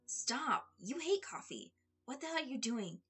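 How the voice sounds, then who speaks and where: A woman's voice: high-pitched, sing-song, measured pace, fairly bright tone, slightly rough, soft background music, pitched above the performer's own voice, heavily acted. A woman voicing an exasperated, mocking young man in a story, emphatic and incredulous as he scolds a friend.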